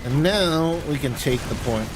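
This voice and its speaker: A man's voice: on the high side, animated, a little slow. A man streamer chatting casually in an offhand, rambling way while playing a game. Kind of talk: casual chat while gaming. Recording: clear background game noise. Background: video game sounds.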